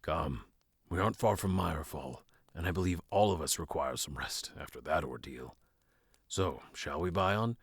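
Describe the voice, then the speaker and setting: An actor playing a calm, optimistic fantasy cleric, speaking in a measured, polite way. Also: male voice, rumbling low, breathy edge, no room sound, studio quality, full character voice.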